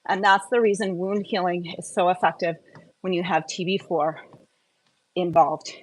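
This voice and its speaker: A woman's voice: on the low side, steady tone, moderate pace, a little hiss or hum, rough recording. Enthusiastic woman explaining in a casual livestream. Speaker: host of a live health stream. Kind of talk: casual live explainer with chatty asides.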